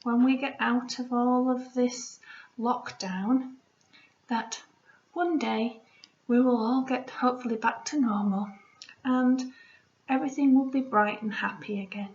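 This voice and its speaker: A woman, a primary school teacher, reading a storybook aloud to children in an expressive way, with hushed asides to her listeners. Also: on the high side, measured pace. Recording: roomy echo, slightly dull sound.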